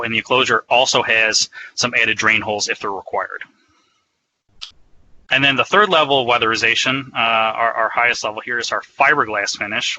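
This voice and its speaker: A man's voice: mid-range voice, bright, forceful tone, slight background noise, poor audio. A man giving an explanatory, conversational product presentation in a semi-scripted webinar style.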